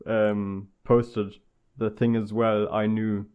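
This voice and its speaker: A man co-hosting a podcast, casually discussing television in a tentative, halting way. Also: fairly low pitch, little pitch movement, clear, resonant tone. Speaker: podcast co-host. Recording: dull lo-fi sound.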